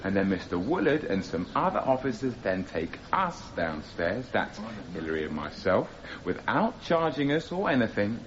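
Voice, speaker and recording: Radio courtroom drama: young anarchist in the dock, accusatory, animated intonation, slightly muffled and noisy. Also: male voice, on the low side, normal speed, few pauses. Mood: insistent. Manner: insistent, accusatory, sarcastically formal. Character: defiant young male anarchist defendant questioning police. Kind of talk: radio drama cross-examination.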